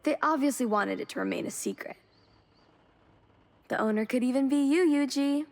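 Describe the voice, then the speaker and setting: A woman's voice: sits high, moderate pace, creaky. A woman playing an upbeat, encouraging car enthusiast in scripted video game cutscenes, speaking in an enthusiastic, conversational way. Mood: friendly, upbeat. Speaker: game character, car-hunting companion. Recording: music under the voice.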